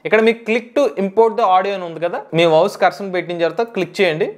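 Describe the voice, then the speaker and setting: A man with a Telugu accent hosting a step-by-step software tutorial, instructional and mixing in English tech terms. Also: high voice, fast. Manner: instructional, mixes English tech words into speech.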